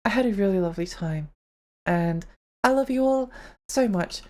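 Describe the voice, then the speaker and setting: A woman's voice: mid-range voice, expressive, moderate pace, warm tone. Tired young woman streamer, chatty and giggly. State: tired, strained voice. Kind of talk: casual live-stream chat.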